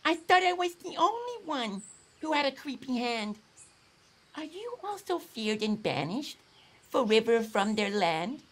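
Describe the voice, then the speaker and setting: A man's voice: very high-pitched, sing-song, pitched above the performer's own voice, exaggerated voice. A man voicing a naughty, misunderstood lemur in a plaintive, moaning storybook voice. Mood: earnest. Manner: plaintive, moaning and exclaiming, drawn-out. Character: naughty, misunderstood male lemur, mopey and indignant.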